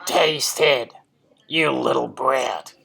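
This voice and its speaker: Someone doing the gravelly, bullying Trunchbull headmistress. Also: mid-range voice, extremely slow, heavily acted. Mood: menacing. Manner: commanding, menacing, shouted emphasis.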